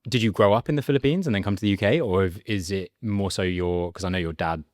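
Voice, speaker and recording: Guy hosting a podcast, real low voice, laid-back chatty questions, dry room. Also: quick pace, dry recording. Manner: relaxed, chatty questioning with frequent laughs. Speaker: podcast host. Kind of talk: casual interview chat.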